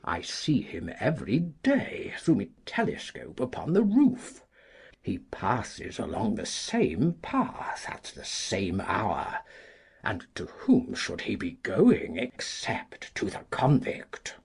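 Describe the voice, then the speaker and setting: An actor playing a cantankerous, self-righteous old country gentleman, with a husky, creaky voice and a pompous, indignant delivery. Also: lowish voice, wildly swooping pitch, slow, full character voice. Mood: sly, boastful. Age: older. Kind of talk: dramatised classic audiobook acting.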